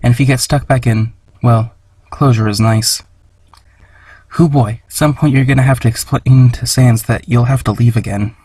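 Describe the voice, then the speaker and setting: Husky man casually reading out questions. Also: mid-range voice, fairly even intonation, moderate pace, darkish tone, no echo. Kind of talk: casual reading of audience questions in a comic dub.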